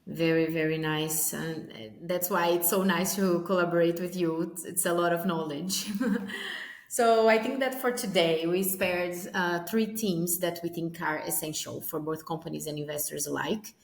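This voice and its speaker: A woman's voice: lowish voice, steady tone, normal speed, vocal fry, echoey room. A woman hosting an interview, introducing her guest and asking questions in an upbeat way. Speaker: host.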